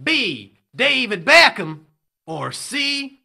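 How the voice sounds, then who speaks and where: Bright, showy game show host. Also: male voice, very high voice, very animated, drawn-out words, vocal fry, heavily acted. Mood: suspenseful. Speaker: quiz host. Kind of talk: audio drama game show presenting.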